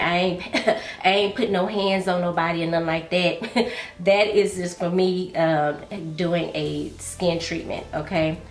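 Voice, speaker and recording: Congested, chatty woman in an echoey room. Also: fairly low pitch. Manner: chatty and confiding, calls viewers baby and girl. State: congested, slightly nasal. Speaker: beauty and fragrance vlogger. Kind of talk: casual get-ready-with-me chat. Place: room at home, doing makeup. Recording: echoey room with faint music.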